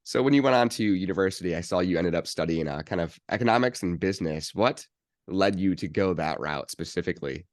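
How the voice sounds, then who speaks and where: Deep-voiced male podcast interviewer, close and dry. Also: lively intonation, a little fast, no echo. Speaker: podcast host interviewing a guest.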